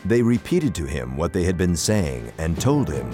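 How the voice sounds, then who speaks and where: A man narrates scripture as scripted drama. His voice is deep, with widely varied intonation. Music plays clearly behind him. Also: sing-song, normal speed, dark tone.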